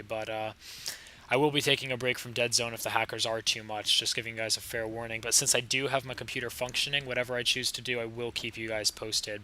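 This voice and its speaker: A young man with a slightly raspy, sick voice, casually commenting over his own gameplay footage with a chatty, laid-back manner. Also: mid-range voice, bright, forceful tone. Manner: casual, laid-back, chatty with frequent fillers and short laughs. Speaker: gaming channel host.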